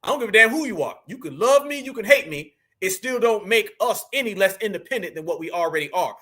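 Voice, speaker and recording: Emphatic high-voiced man commenting on clips. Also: expressive, fast talker, pushed and bright. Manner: emphatic, addresses viewers as family. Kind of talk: casual reaction commentary.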